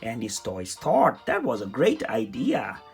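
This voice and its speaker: Someone reading a children's story aloud in an expressive, dramatic way. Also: mid-range voice, unhurried, creaky. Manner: animated, dramatic delivery. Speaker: storybook reader. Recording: music under the voice. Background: music.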